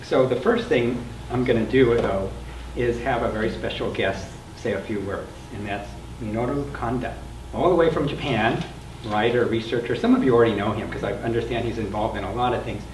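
A man's voice: medium pitch, fairly quick, hardly any pauses. An older man moderating a panel, explaining things informally and conversationally in a meeting room. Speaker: interviewer and moderator of an oral history panel. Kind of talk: informal spoken introduction to a panel. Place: meeting room with a small audience. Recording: roomy, distant mic with clear background noise.

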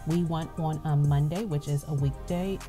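A woman's voice: low voice, fairly even intonation, moderate pace, over music. A young adult woman giving casual, informative voice-over narration for a review vlog, offering advice as she goes. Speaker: vlog host.

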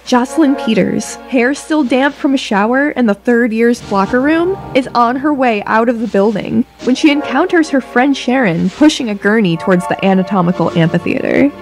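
A woman narrates a scripted audio drama in a high voice. Her pitch moves around a great deal, and music plays clearly behind her. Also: expressive, normal speed, few pauses.

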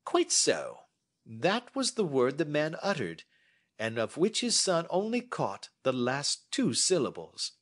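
A man's voice: fairly high pitch, wildly swooping pitch, measured pace, a bit bright, voice pushed up, heavily acted. A man reading as a brilliant, self-assured Victorian detective who explains his deductions precisely. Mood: lecturing.

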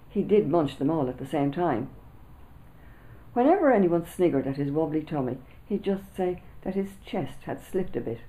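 A woman, an author reading her children's book aloud with lively storytelling, seated indoors before a camera. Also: deep voice, low-quality recording. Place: small indoor room before a backdrop.

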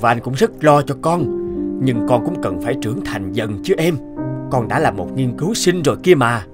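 Man playing a calm father, lively intonation. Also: medium pitch, normal speed, over loud music, close to an everyday voice. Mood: calm, reassuring. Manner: calm, reasoning. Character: patient middle-aged father, gentle but firm.

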